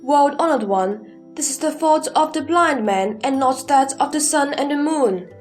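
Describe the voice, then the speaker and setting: A woman playing a respectful Buddhist disciple speaks in a very high voice. The delivery is formal and measured, questioning his master in a scripture audio drama over music. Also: expressive.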